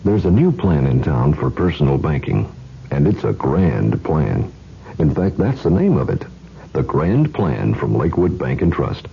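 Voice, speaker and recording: A man with a gravelly, raspy voice reading a scripted radio advertisement as an announcer. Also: very deep voice, big pitch swings, measured pace, slight background noise. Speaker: bank commercial announcer. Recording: muffled 1970s radio recording.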